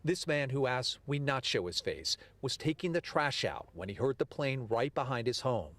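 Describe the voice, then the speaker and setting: A man's voice: mid-range voice, lively intonation, normal speed, a bit bright. An American man reporting live for TV news from outdoors at an airport.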